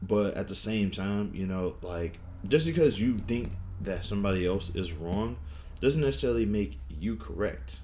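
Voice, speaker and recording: A man's voice: on the low side, animated, moderate pace, a little mellow, slight background noise. A young man with a lisp and a stutter, rambling through a casual opinion monologue with many fillers and restarts. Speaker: wrestling vlogger.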